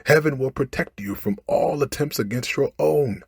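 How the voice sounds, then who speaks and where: A man's voice: medium pitch, normal speed, gravelly, slightly rough, no room sound, clean studio sound, pitched above the performer's own voice. A man voicing a humble fisherman from a storybook, imploring in formal, old-fashioned phrases. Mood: pleading. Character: humble, pleading male fisherman, clever under threat. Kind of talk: storybook character voice.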